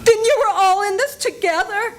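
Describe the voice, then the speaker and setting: An older woman playing a frightened, cornered woman who is forced to confess, in a radio play reading. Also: slight background noise. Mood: shocked. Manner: shrill, rushed, disbelieving. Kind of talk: amateur old-time radio play reading.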